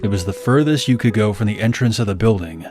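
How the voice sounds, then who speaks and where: A man's voice: lowish voice, fast talker, over music. A man reading a scripted first-person scary story as a narrator.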